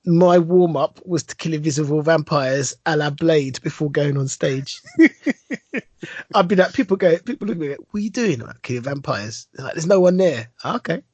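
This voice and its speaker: A man with a British accent co-hosting a podcast, talking excitedly and laughing a lot. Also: on the high side, moderate pace, slightly rough, no echo, highs cut off. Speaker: podcast co-host. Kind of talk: casual chat.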